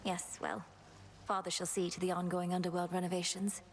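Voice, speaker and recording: An actress playing a young, dutiful underworld princess and witch in a video game, composed and earnest, with a gravelly creak. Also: lowish voice, monotone, brisk, big-room sound, slight background noise, natural voice. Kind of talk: video game dramatic acting.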